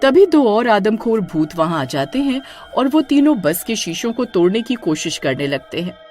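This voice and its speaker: A woman's voice: mid-range voice, big pitch swings, normal speed, a little mellow, soft background music. A woman narrating a horror-comedy cartoon story in a dramatic, suspenseful way.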